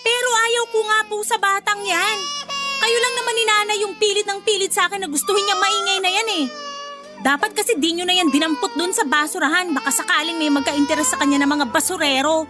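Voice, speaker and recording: A woman playing a bitter, defiant young mother in a radio drama, irritated and sharp-tongued. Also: very high-pitched, fairly even intonation, fairly quick. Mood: cold, resentful. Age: young adult. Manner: irritated, sharp-tongued, whining back at parents. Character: bitter, defiant young mother who rejects her baby. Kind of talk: Tagalog radio drama acting. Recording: loud music under the voice.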